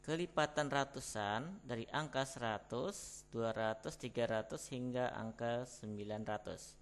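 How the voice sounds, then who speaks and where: A man with an Indonesian accent, teaching beginner Arabic by reading short Indonesian phrases as prompts and pausing for answers. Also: medium pitch, a little hiss or hum. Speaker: Arabic tutor. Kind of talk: prompting vocabulary drills.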